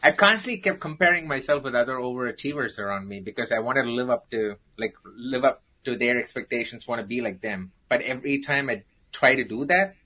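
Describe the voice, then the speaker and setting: A man's voice: medium pitch, fairly quick, few pauses, bright, forceful tone. A man with an Indian accent, a guest on a talk show, telling his life story with self-deprecating jokes. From South India.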